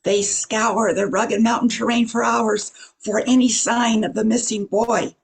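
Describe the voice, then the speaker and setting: A narrator with a gravelly, husky voice reading a scripted radio drama. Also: medium pitch, little pitch movement, measured pace.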